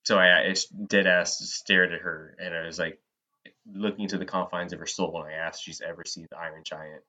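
A man co-hosting a podcast in a casual chat about movies, joking and swearing freely in an animated way. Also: deep voice, brisk. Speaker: podcast co-host. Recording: dull, lo-fi band-limited audio.

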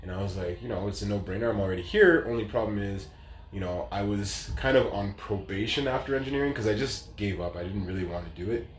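A man's voice: lowish voice, expressive, fast, reverberant, slight background noise. A man in his late thirties telling his story as an interview guest, casual and rambling, with lots of fillers. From Canada. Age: 30s.

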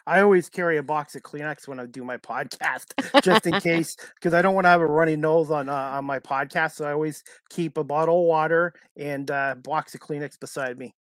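A man's voice: a little high, a bit bright, no echo. Man, podcast host, casual chat, dry close sound, stumbles and restarts. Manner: halting, many fillers and restarts.

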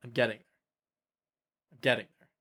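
A man's voice: a little fast, sharp tone. Man chatting as a podcast host. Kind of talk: casual tabletop gaming podcast chat. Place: online voice call, recorded at own microphone.

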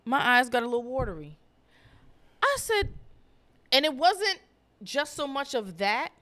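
Woman co-host, very lively pitch, frank and teasing, profane. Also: unhurried. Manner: frank and teasing, swears freely. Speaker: podcast co-host. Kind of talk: casual chat.

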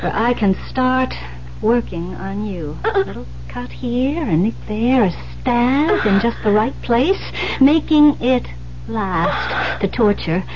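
A sadistic murderess in an old radio thriller. Her voice is fairly high, and she taunts her victim slowly and with menace. The sound is muffled, with a faint hum underneath. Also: expressive, a little mellow. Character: murderous woman knife-wielder, sadistic, slow and menacing. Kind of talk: 1970s radio drama acting.

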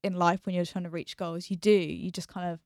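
A woman's voice: fairly low pitch, level tone, fairly quick, a little mellow, dry recording, clean studio sound. A woman co-hosting a casual chat, earnest and full of fillers, repeating her points.

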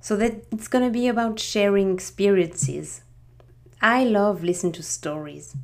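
Middle-aged French-accented woman, native French speaker, podcast host, slow and reflective storytelling. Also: medium pitch, vocal fry, darkish tone. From France. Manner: unhurried, reflective, with frequent restarts.

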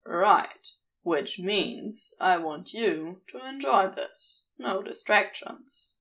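A woman voicing a commanding but tender male demon lover in a fan fiction audiobook, with deliberate, praising speech. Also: medium pitch, lively intonation, fairly bright tone, full character voice. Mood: warm praise.

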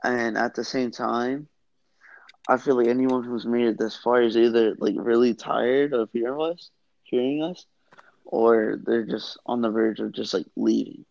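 A man's voice: mid-range voice, steady tone, measured pace, gravelly, darkish tone, no echo, lo-fi sound. A teenage boy chatting as a guest on a friends' podcast, making teasing, wry remarks. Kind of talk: casual chat.

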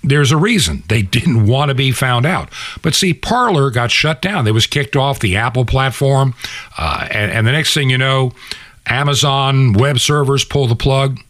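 A man's voice: mid-range voice, fairly bright tone, no room sound. A man hosting a radio show, delivering an opinionated political monologue in an emphatic, conversational way with rhetorical asides. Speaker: radio and podcast host.

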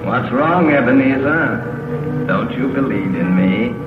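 An actor playing the mournful ghost of a dead businessman in a vintage radio drama, declaiming gravely through a ghostly reverb. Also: mid-range voice, lively intonation, slow pace. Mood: ominous. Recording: muffled reverberant old radio broadcast, music behind.

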